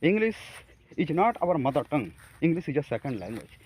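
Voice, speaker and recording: A man from India with an Indian accent, a Hindi speaker, talking haltingly to camera about practicing English, with many fillers and restarts. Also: fairly high pitch, sing-song, a little mellow, breathy edge, rough recording. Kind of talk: casual talk to camera.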